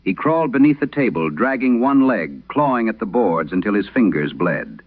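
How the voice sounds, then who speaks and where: Deep-voiced old priest played by an actor, grave and haunted. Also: male voice, very animated, phone-quality sound. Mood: haunted, solemn. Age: older. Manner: solemn, measured storytelling. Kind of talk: dramatic scripted horror radio monologue.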